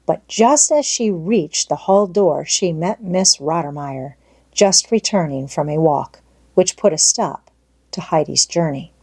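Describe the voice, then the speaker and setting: A woman's voice: sits low, animated, measured pace, darkish tone, breathy edge. A woman reading a children's storybook aloud as an audiobook narrator.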